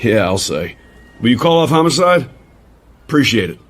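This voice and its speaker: A genial agent played by a man talks in a medium pitch. He comes across as a loyal, easily flustered lawman in a radio thriller. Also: very animated, normal speed, a little hiss or hum, close to an everyday voice. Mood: relieved, businesslike. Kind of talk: radio drama acting.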